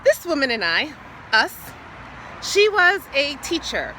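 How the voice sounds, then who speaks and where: High, theatrical woman performing spoken-word poetry. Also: very animated, pushed and bright, slight background noise, rough recording. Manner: theatrical, halting short phrases with repeated words. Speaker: poet. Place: outdoors, standing or walking.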